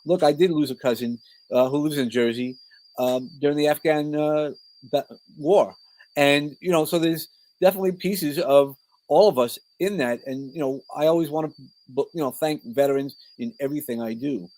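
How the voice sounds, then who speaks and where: A man's voice: fairly high pitch, moderate pace, a little mellow, rough recording. A middle-aged man with a New York accent, talking informally as an interview guest and often breaking off to rephrase his personal stories. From New York, Brooklyn. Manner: informal, rambling, self-interrupting. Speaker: podcast guest, coach and speaker. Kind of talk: conversational interview, personal storytelling.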